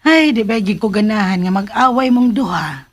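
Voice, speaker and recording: An actress with a Filipino accent playing a concerned, kindly aunt in a radio drama, speaking gently and familiarly. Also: female voice, medium pitch, animated, moderate pace, natural voice. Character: concerned, kindly aunt looking after her niece. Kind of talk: Philippine radio drama acting.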